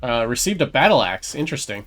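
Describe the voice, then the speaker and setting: A man's voice: medium pitch, sing-song, fast, a bit bright. A man hosting a gaming video, giving casual commentary on his playthrough. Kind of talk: casual game playthrough commentary, reading choices aloud. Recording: faint game music behind. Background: game music.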